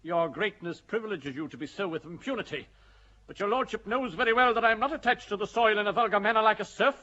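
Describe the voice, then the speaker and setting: An indignant English chaplain with a high, bright, sharp voice. He bristles and presses every complaint with fervour. The sound is dull and lo-fi, like an old radio play. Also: fast. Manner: indignant and fervent. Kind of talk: classic radio drama acting.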